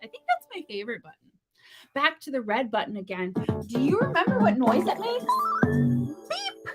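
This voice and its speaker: A woman's voice: high-pitched, wildly swooping pitch, moderate pace, over music. A woman reads a children's picture book aloud in a playful, theatrical, interactive style, full of dramatic exclamations.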